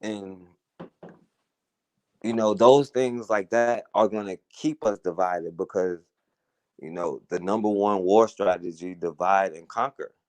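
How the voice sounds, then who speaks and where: A man's voice: deep voice, measured pace, a little mellow, dry recording. A man delivers an earnest, conversational radio monologue. He checks in with his listeners through "you know" and "right?". The sound is dry and close. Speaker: talk radio host. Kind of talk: casual monologue commentary.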